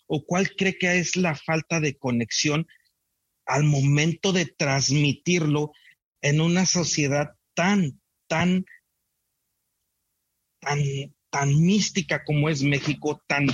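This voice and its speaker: Husky man asking long rambling questions. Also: on the high side, slow pace, long pauses, no room sound. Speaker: interviewer host. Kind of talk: interview conversation. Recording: dull, dry lo-fi audio.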